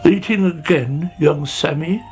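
A slow old male snail from a children's storybook, played by a narrator. He sighs and grumbles in weary reproach, with swooping pitch. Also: sing-song, creaky, faint music behind, heavily acted. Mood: weary, scolding. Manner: sighing, grumbling.

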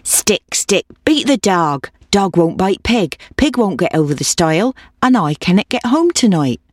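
A woman's voice: medium pitch, big pitch swings, a little slow, dry recording, clean studio sound. A woman voicing a plaintive old woman in a storybook folk tale, imploring in a repetitive chant. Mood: insistent. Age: older. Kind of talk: storybook character voice.

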